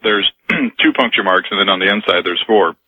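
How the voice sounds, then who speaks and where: A man's voice: sits low, steady tone, brisk, slightly rough. A man phoning a radio show, casually explaining his ideas in a dry, wry manner. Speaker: radio phone-in caller. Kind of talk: casual theorizing on a call-in show. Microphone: telephone. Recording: dull lo-fi phone call on radio.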